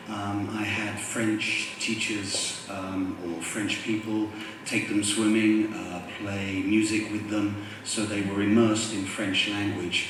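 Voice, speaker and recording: A man from England on a parents' panel, speaking in a measured way with steady hesitations. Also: fairly low pitch. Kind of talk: panel discussion. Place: school hall. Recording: echoey hall, distant mic, noise.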